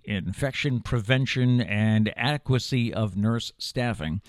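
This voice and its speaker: A man's voice: medium pitch, dry recording, studio quality. A man in his fifties with an American accent, hosting a morning show with news and casual talk. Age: 50s.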